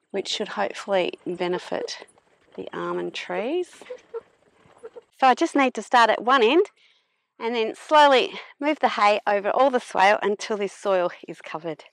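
A middle-aged woman narrating a farm vlog in a plain-spoken, unhurried explaining manner. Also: mid-range voice. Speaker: vlogger, permaculture farmer.

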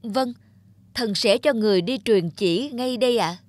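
A woman's voice: a little high, normal speed, a little mellow, no echo, close to an everyday voice. A woman voicing a dutiful male court official in a cartoon, humble and formal. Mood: deferential. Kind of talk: cartoon voice acting.

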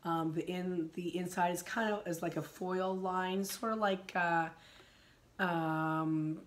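A middle-aged woman, hoarse from a sinus infection, chatting casually about candles in her living room, rambling with many ums and restarts. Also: fairly low pitch, steady tone, slow pace. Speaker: vlogger. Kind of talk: casual chat reviewing candles.

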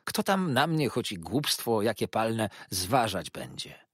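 A man voicing a kindly, simple-minded old eccentric who talks in an earnest, confiding and excited way. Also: fairly low pitch, measured pace, slightly rough, dry recording, clean studio sound, full character voice. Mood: excited, eager.

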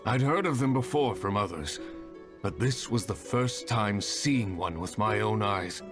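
A man voicing a weakened, earnest hunter in a video game, speaking haltingly. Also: lowish voice, expressive. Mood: weary, grateful. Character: weakened adult male hunter, earnest and grateful. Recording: game music behind the voice.

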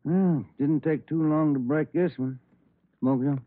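An actor playing a laconic police detective sidekick in a 1950s radio crime drama, dry and understated. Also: male voice, on the high side, unhurried, no echo. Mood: casual, wry. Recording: tinny old radio recording.

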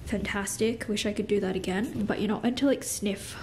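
A woman's voice: medium pitch, a little fast, darkish tone, a little hiss or hum. A young woman in her twenties with a stuffy, congested nose, chatting frankly to her phone camera at home, filling her talk with "like". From Australia. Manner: chatty, frank, lots of "like" fillers. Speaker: vlogger. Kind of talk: casual vlog chat to camera. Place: home living room and kitchen, indoors. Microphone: phone camera at arm's length.

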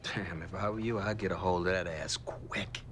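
A man acting as a cocky, lewd young guy with a fairly low voice. He talks like a joking buddy in a naturalistic film style. There is faint background noise. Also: steady tone, normal speed, close to an everyday voice. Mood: lewd, teasing.

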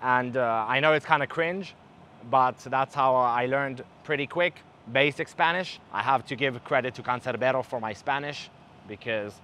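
A man's voice: mid-range voice, moderate pace, slight background noise. Young man with a mixed accent, talking outdoors. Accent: mixed English, partly South African. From Israel. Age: young adult. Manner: animated, gestures with his hands. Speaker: travel vlogger. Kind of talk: casual talk to camera. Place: outdoor balcony at night above a city.

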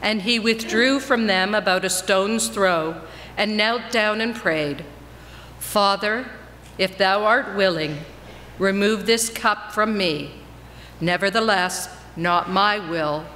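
A woman giving a solemn, measured dramatic reading of scripture as a reader in a church. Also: medium pitch. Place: church, before a congregation. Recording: reverberant church hall, faint background noise.

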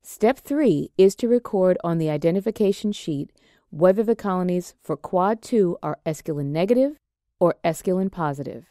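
A woman reads a scripted lab walkthrough in a steady, measured way, one step at a time. Her voice is fairly low and mellow. The recording is dry and close, with no room sound. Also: dry recording. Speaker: extension educator. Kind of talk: scripted instructional narration.

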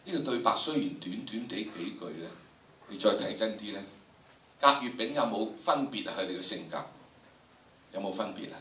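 An older man with a Hong Kong Cantonese accent lectures like a stage veteran, theatrical and animated. His voice is bright and projected. He is picked up from a distance in an echoing hall. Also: lowish voice, normal speed, slight background noise. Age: older, about 70s. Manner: theatrical, acting out examples. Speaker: drama teacher, workshop instructor. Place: large lecture hall with student audience. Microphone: handheld microphone through room speakers, recorded from the audience.

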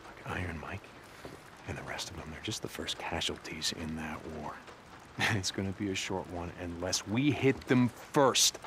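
A man acts out a rugged biker survivor in his thirties for a video game. His gruff voice sits low and sounds defiant. Noticeable ambient noise runs behind it. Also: normal speed, natural voice. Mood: resolute. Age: 30s. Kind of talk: dramatic video game cutscene acting.

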